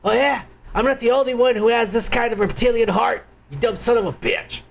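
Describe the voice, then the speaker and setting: A man's voice: extremely high pitch, brisk, creaky. A man hosting a live stream, ranting crudely and angrily in profane political commentary.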